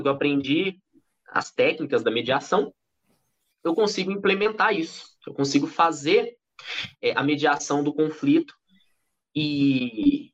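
A Brazilian man in his thirties, a guest in an interview, talking in a chatty, animated way with frequent restarts. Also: fairly high pitch. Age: 30s. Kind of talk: casual interview talk. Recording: dull lo-fi audio.

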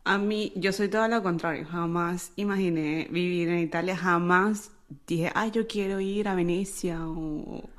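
A woman's voice: fairly low pitch, steady tone, unhurried. A Venezuelan woman with a Venezuelan Spanish accent, co-hosting a casual podcast and chatting in an informal, slangy way. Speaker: podcast co-host. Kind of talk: casual, gossipy podcast chat.

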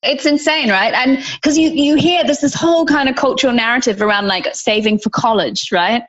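A woman in her thirties from New Zealand with a New Zealand accent, a guest on an interview podcast, talking in an animated, emphatic way with lots of "like" and "right?". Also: high-pitched, brisk, muffled recording. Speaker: guest, childcare company founder.

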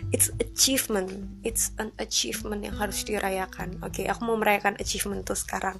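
A young woman with a light Javanese accent talks through her solo podcast, chatty and self-deprecating, slipping English phrases in here and there. Her voice sits at an ordinary pitch and her intonation stays a little flat. Clear music plays underneath her voice. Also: moderate pace. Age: 20s. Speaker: podcast host. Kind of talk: casual solo podcast monologue.

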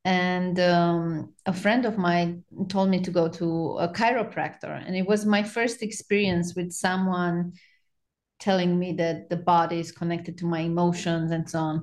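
A woman speaks with a Romanian accent in a somewhat low-pitched voice. She is a podcast guest, recounting her own experiences in a casual, conversational way. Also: flat delivery, normal speed, darkish tone. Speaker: podcast guest, spiritual practitioner. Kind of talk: casual personal storytelling in conversation.

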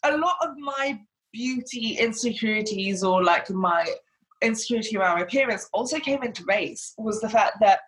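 A woman's voice: mid-range voice, rough recording. A woman, grew up in Hong Kong, talking chattily as an interview guest and using lots of fillers. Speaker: guest, body confidence coach. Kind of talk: casual interview talk.

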